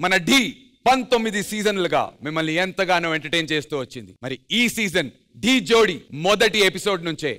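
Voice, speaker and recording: Fast, high-pitched male show host. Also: animated. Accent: Telugu. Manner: emphatic, hype-building announcing. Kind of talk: energetic stage hosting. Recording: loud music behind the voice. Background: show music.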